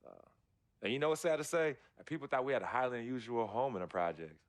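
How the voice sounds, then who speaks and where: A man's voice: mid-range voice, lively intonation, fast talker, lightly acted. An actor playing a laid-back, sincere young American man, a loyal brother, talking in earnest slang in game dialogue. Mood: warm, sincere. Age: young adult. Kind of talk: naturalistic game cutscene dialogue.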